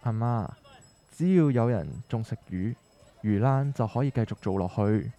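A man's voice: on the low side, moderate pace, mellow, close to an everyday voice. A man playing an earnest, determined young son of a market family in a radio drama, speaking persuasively in Hong Kong Cantonese. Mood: determined, reassuring. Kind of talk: scripted radio drama dialogue.

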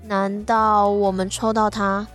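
A level-headed woman in a radio drama. Her medium-pitched voice is skeptical and matter-of-fact as she reasons things out, with music underneath. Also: level tone, slow pace, fairly bright tone. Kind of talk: Mandarin radio drama acting.

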